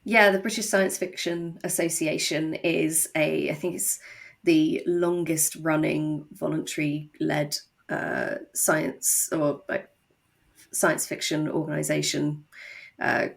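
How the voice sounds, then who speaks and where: A woman chatting as a guest in a podcast interview, explaining things in a hesitant way with pauses mid-sentence. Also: low-pitched, level tone, gravelly. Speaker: audio drama co-creator, podcast guest. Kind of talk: casual podcast interview chat.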